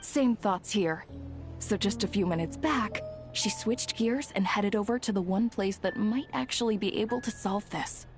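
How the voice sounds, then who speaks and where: A woman playing a gossiping townswoman in a video game cutscene, confiding and hushed as she passes on news. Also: moderate pace, over music, natural voice. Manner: confiding, lowers voice to share news. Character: gossiping townswoman, knowing and conspiratorial.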